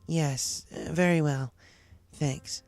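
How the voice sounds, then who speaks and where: A man's voice: fairly high pitch, fairly even intonation, slow, vocal fry, darkish tone, no room sound, faint music behind, pitched above the performer's own voice. A man voicing a young runaway pegasus mare, awkward and guarded, with a hesitant, blunt way of talking, in a cartoon fan story. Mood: sheepish, apologetic.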